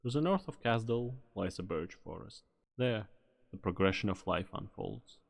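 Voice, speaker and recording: A man's voice: lowish voice, expressive, moderate pace, creaky. A man playing a solemn storyteller, giving poetic scripted narration in an amateur game fan dub. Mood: somber. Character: somber male storyteller recalling a bleak winter land.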